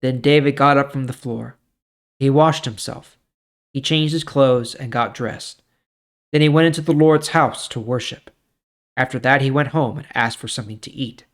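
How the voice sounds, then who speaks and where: A man's voice: medium pitch, moderate pace. A man hosting a scripture reading with informal, plain-spoken commentary. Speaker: host reading and explaining scripture.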